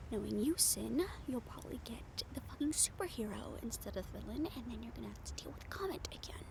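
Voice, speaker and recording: A woman's voice: a little high, creaky. A woman playing a brash, cynical, foul-mouthed reporter in an improvised audio roleplay, with a blunt, sardonic manner. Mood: muttering, frustrated.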